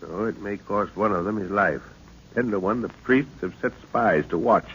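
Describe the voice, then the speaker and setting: A man acting a commanding, middle-aged expedition leader in an old-time radio serial, measured and authoritative. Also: fairly low pitch, a bit bright. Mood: thoughtful. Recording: muffled, noisy old radio recording.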